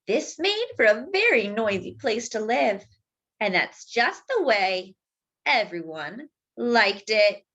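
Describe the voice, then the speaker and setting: A woman's voice: on the high side, a bit bright, lo-fi sound. A woman hosting a children's storytime, reading a picture book expressively and dramatically for young listeners. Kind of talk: animated picture-book read-aloud.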